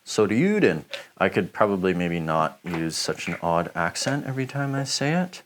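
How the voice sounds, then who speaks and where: A man giving a relaxed, chatty review, rambling with lots of fillers as he chops. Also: low-pitched, moderate pace, noisy background. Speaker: knife shop reviewer. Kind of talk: casual product review while chopping.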